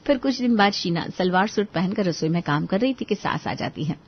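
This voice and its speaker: A woman's voice: on the low side, expressive, fairly quick, breathy edge. A woman storyteller delivering scripted narration for a Hindi moral story. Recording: dull, lo-fi audio.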